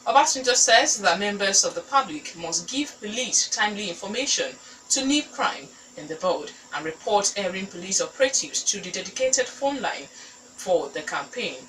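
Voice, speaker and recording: A woman's voice: medium pitch, animated, moderate pace, fairly bright tone, far from the mic, slight background noise, poor audio. A woman with a Nigerian accent reading scripted news as a newsreader.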